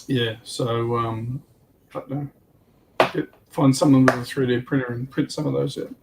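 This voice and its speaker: A man's voice: mid-range voice, steady tone, normal speed. An Australian man chatting casually on a hobby livestream panel, with hesitant, rambling phrasing. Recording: clear background noise on livestream.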